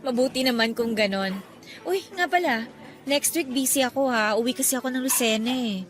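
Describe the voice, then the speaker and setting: A female actor as an affectionate, trusting young girlfriend in a radio drama. Her voice is clearly high and conversational, easily tipping into questions, over soft background music. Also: moderate pace, natural voice. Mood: light, teasing. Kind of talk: Tagalog radio drama acting.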